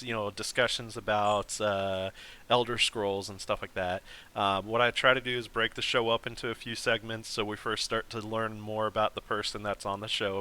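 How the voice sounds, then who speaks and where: A man's voice: on the low side, pushed and bright, clean tone. A man hosting a live stream, chatting casually with a guest in a rambling way full of fillers and restarts. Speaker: host.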